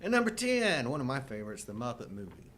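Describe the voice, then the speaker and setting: Middle-aged man, lively swooping pitch. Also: fast. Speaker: co-host of a live chat show. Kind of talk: casual movie chat with joking commentary. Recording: low rumble and background noise.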